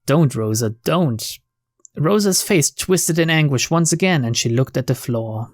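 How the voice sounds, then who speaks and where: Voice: mid-range voice, lively intonation, unhurried, dry recording, studio quality. A let's player reading visual novel narration aloud, breaking off for informal, sweary asides. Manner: sweary, informal reactions between read lines.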